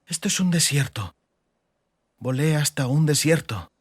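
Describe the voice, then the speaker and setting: A man voicing an exhausted, determined young girl's inner thoughts in a dramatized audiobook, in a hushed dramatic delivery. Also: on the high side, expressive, measured pace, slightly rough, no echo, clean studio sound. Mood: grim determination.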